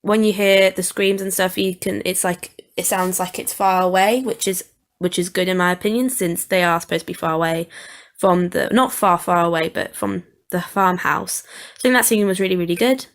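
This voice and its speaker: Young woman giving halting informal feedback. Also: fairly low pitch, fairly even intonation. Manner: hesitant, halting, thinking aloud. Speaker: student producer reviewing an audio edit. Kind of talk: informal video commentary.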